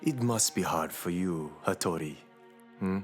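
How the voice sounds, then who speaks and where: Haughty, needling Japanese prince, lowish voice over soft music, audio play. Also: male voice, measured pace. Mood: sly, needling. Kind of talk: audio drama acting.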